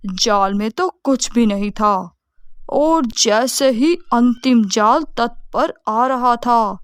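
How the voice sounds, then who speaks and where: Slow, higher-pitched woman telling a story. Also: clean tone, dry recording, studio quality. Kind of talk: scripted bedtime story narration.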